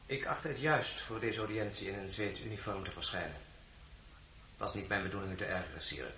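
An actor playing a steadfast, courteous French marshal in a radio drama, speaking in a formal, measured, restrained way. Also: male voice, lowish voice, bright, forceful tone. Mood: respectful, unyielding. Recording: echoey room with faint noise.

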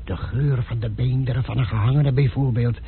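A man's voice: medium pitch, steady tone, fairly quick, vocal fry, husky. A man playing a nervous, deferential male country innkeeper in a radio drama. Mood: anxious. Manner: anxious, deferential. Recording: muffled phone-like old radio recording.

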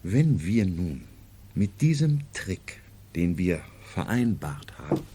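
Ein Schauspieler spielt in einem Hörspiel der Siebziger einen gelehrten, selbstsicheren Psychiater, der mit heiserer Stimme förmlich und dozierend spricht. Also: male voice, sits low, big pitch swings, slow, dark tone, slight background noise, heavily acted. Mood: clinical, absorbed. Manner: pedantic, lecturing, formal. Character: learned, self-assured male psychiatrist, explaining at length. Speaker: radio drama actor. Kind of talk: 1970s radio drama acting.

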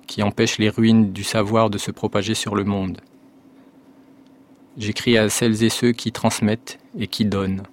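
A low-voiced man reads a passage from his own novel. His delivery is slow and solemn, with careful and steady phrasing. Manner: measured, solemn recitation. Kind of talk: literary reading aloud.

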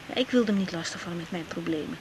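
A woman's voice: lowish voice, quick pace, noisy background, close to an everyday voice. An actress playing an earnest, open young woman doctor in a naturalistic radio drama. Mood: confiding.

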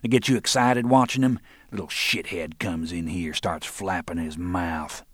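A man's voice: low-pitched, a little slow, no room sound, studio quality. A man reading aloud, voicing a crude, menacing male thug who sneers and taunts. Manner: crude, sneering, swings from mild to shouting.

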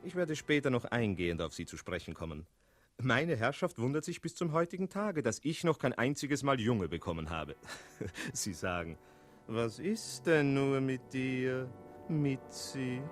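Talking tomcat narrator, sly and playful, very animated, old radio play with music. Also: male voice, medium pitch. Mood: confiding. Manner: playful, theatrical, digressive, punctuated by meows. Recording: 1960s radio studio recording with music.

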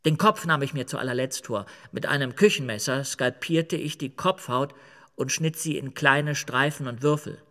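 A man's voice: medium pitch, moderate pace, sharp tone. Radio play killer: male, boastful, unrepentant, matter-of-fact delivery, big hall reverb. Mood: cold, confessional. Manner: matter-of-fact, self-important.

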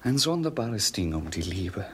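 A man's voice: low-pitched, very animated, moderate pace, fairly bright tone, husky, exaggerated voice. A man playing a bored, brooding, self-mocking young prince in a 1950s radio play, declaiming lyrically and theatrically. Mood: brooding, world-weary.

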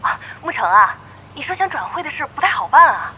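A careful female team manager in an audio drama phone call. Her voice is high and animated, explaining apologetically. A faint noise sits behind her. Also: fairly quick. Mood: apologetic, cautious. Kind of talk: Chinese audio drama acting.

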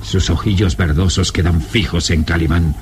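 A man's voice: low-pitched, steady tone, moderate pace, a little mellow, a little hiss or hum. Theatrical male radio serial narrator. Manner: suspenseful, theatrical.

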